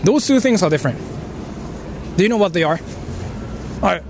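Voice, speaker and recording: A man's voice: high voice, lively intonation, a little fast, a little mellow. A man from Japan chatting casually on a walking livestream, explaining things in an informal, repetitive way. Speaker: live streamer. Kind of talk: casual livestream chat while walking and eating. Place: outdoors on a city street. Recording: noisy outdoor street with traffic ambience.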